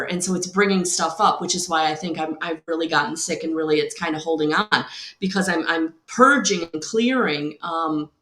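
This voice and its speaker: A woman oracle card reader chatting casually on a live stream, her voice deeper than usual and congested from a cold, rambling with many ums. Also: a bit bright, roomy sound. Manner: rambling, many ums, greets viewers by name.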